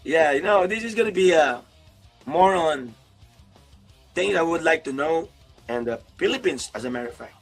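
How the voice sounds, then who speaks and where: A man with a gravelly, husky voice hosts a casual chat over a video call. He talks in a friendly, rambling way and laughs a lot, with faint music behind him. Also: a little high, lively intonation, normal speed, pauses often. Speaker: YouTube host, interviewer.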